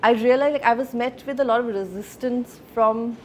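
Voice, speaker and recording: A woman in her thirties from Sweden, a film producer speaking reflectively as an interview guest, with many pauses and fillers. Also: fairly high pitch, a little hiss or hum.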